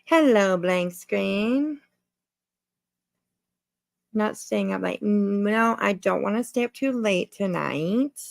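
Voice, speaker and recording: A woman's voice: mid-range voice, expressive, slow pace, vocal fry, pauses often, no echo, studio quality. A woman hosting a casual livestream, chatting informally to viewers in a rambling way and repeating her phrases. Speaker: livestream host.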